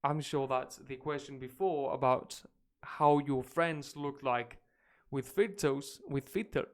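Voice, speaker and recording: Spanish-born English teacher on a live stream, British-leaning accent, lively chatty delivery, mid-pitched voice. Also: male voice, slow. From Spain. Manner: energetic, chatty, reacting to clips.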